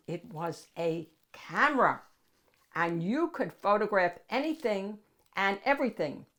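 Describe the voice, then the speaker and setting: A woman reading a picture book aloud as a storytime host, addressing young listeners with dramatic pauses and drawn-out key words. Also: medium pitch, a bit bright.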